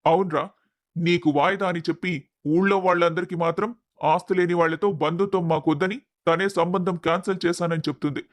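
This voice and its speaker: A man's voice: sits high, steady tone, fast, close to an everyday voice. A man playing a blunt, indignant old village man who talks in a scolding, emphatic way in a dramatized story. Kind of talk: dramatized moral story acting.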